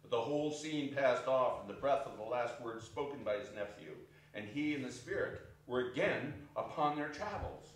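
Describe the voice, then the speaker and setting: Animated male stage narrator, very echoey. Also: medium pitch, moderate pace, hardly any pauses. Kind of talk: scripted theatrical narration. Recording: echoey hall, distant microphone.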